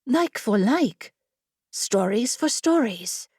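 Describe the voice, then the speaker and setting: A woman voicing an eager, curious female story-seeker in a fantasy audiobook, earnest and excitable. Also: a little high, slow pace, husky, no echo, studio quality, full character voice. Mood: eager, hesitant.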